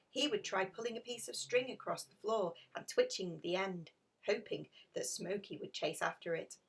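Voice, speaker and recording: Husky woman reading a storybook, distant mic. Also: mid-range voice. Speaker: storybook reader. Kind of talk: children's picture book read aloud.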